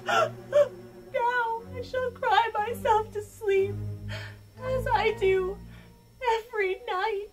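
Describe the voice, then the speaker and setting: Casting: vain, self-pitying fairy-tale diva, extremely high voice, drawn-out melodramatic delivery, comic stage style, music behind. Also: female voice, heavily acted. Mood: wailing self-pity. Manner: overwrought wailing with sudden snide asides.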